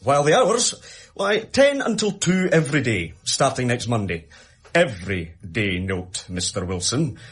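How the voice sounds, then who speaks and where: An actor playing a pompous, effusive official in a radio drama, grandiose and theatrical in delivery. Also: male voice, medium pitch, sing-song, a little slow, a bit bright, husky, exaggerated voice. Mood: brisk, businesslike. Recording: crowd murmur behind the voice.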